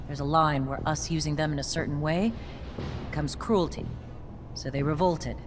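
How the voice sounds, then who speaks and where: A woman's voice: deep voice, lightly acted. A woman playing a tough, pragmatic army captain in a video game, matter-of-fact and authoritative.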